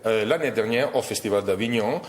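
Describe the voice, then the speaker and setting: A man's voice: on the low side, fairly quick, echoey room, long reverb tail, a little hiss or hum. An Italian man with an Italian accent, a guest actor giving an interview, hesitating often as he searches for his French words. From Italy.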